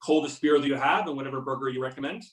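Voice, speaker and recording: A man's voice: mid-range voice, rapid-fire, close to an everyday voice. A man acting a wry, easygoing federal agent in an amateur readers theater over a video call. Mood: friendly, probing. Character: wry male FBI agent back in his hometown. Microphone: videoconference or conference call. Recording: roomy, distant videoconference mic.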